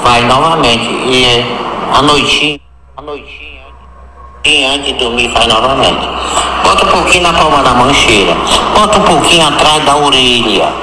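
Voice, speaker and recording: A man giving a repetitive, emphatic and instructive sales pitch for a product. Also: moderate pace, roomy sound, in a large hall, very noisy. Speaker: product spokesman.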